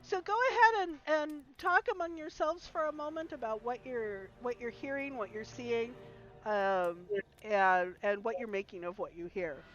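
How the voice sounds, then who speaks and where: A woman's voice: a little high, normal speed, fairly bright tone, dull audio. A woman game master hosting a live-streamed tabletop game, chatty and laughing easily, with her voice wearing out. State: losing her voice.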